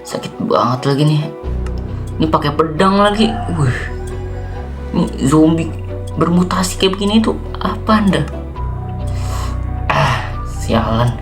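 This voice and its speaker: Man acting, young zombie survivor, game roleplay, weary, jumpy, self-muttering, exclaiming, slangy, medium pitch, background music. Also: animated, a little slow, long pauses, lightly acted. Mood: panicked, straining. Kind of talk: improvised Minecraft roleplay, talking to himself.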